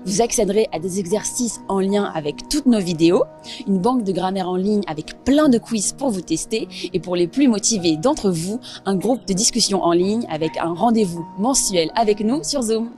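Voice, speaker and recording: A woman hosts and reads a scripted promo in a quick, brisk way. Faint music plays under her. Her voice sits at a middle pitch. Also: lively intonation, warm tone. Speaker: street interviewer and host. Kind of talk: street interviews, then a scripted promo. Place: outdoors on a street.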